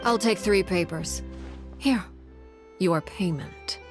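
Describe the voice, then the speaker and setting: A woman voicing a cold, composed leader in a video game, speaking in a calm, measured, quietly menacing way, often hushed. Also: lowish voice. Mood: cool. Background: game music.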